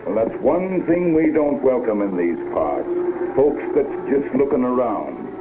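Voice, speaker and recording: An actor playing a suspicious ranch gunhand in a 1950s radio western, blustering in folksy cowboy slang. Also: male voice, mid-range voice, very animated, unhurried. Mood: menacing, suspicious. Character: suspicious, threatening cowboy gunhand guarding a range. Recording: tinny noisy old radio tape rip.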